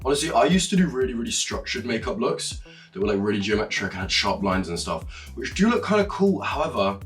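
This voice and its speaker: A young man from Norway chatting casually through a makeup tutorial, animated and sometimes swearing with a laugh. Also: medium pitch, a little fast, recorded from a distance, faint music behind. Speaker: makeup vlogger.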